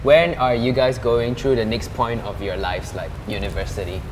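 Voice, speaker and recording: A young man leads a relaxed group chat as host. His voice is mid-pitched. It is recorded in a reverberant hall with low rumbling noise. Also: normal speed. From Singapore and Cambodia. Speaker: host reading out questions.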